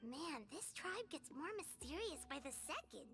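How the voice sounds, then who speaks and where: Squeaky, childlike fairy sidekick. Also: female voice, sing-song, moderate pace, fairly bright tone. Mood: musing. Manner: exclamatory, blunt, informal. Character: small childlike female fairy companion, excitable and blunt. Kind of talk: video game voice acting. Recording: music behind the voice.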